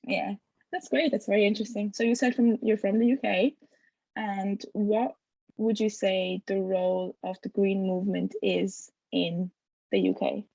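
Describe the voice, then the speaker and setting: A woman asks questions in a gravelly, mellow voice, friendly but hesitant, with plenty of fillers. The recording is dry and somewhat dull and lo-fi. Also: medium pitch, little pitch movement, unhurried, dry recording. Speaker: interviewer. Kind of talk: casual interview questions.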